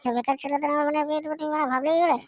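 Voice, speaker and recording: A woman's voice: sits high, fairly even intonation, racing, no echo, lightly acted. A woman voicing a hot-headed, scornful young man in a comic cartoon. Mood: angry, scornful. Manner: loud, scornful, foul-mouthed. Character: hot-headed, mocking young man guarding his sister's marriage.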